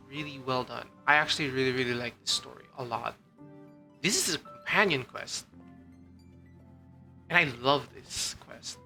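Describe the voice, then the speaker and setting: A man's voice: mid-range voice, sharp tone. A man giving casual, rambling game commentary as a streamer, with lots of "like" and some casual swearing.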